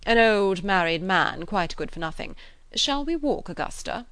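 A woman's voice: mid-range voice, sing-song, moderate pace, fairly bright tone, smooth, no echo. A woman reading a classic novel, voicing a sneering, self-deprecating married man with emphatic delivery. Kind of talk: classic novel character dialogue.

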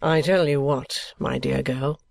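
A man playing an affectionate but bitter, evasive young gentleman, earnest and weary, in acted audiobook dialogue from a classic novel. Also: mid-range voice, steady tone, a little slow, creaky. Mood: restless, resigned.

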